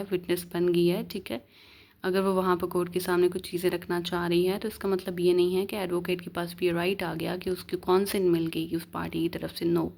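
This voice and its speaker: A woman's voice: fairly low pitch, a little mellow. A woman with a Pakistani accent giving an explanatory law lecture, mixing in English phrases and often checking that listeners follow. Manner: mixes English legal phrases into speech, frequent check-ins. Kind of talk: explanatory lecture, reading legal text aloud and explaining it.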